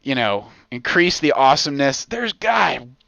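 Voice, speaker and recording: A man in his forties with an American accent chatting casually on a podcast, riffing in an excitable, profane, slangy way full of exaggeration. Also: mid-range voice, normal speed, creaky, dry recording, muffled recording. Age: 40s. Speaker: podcast co-host. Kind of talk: casual comedic podcast chat.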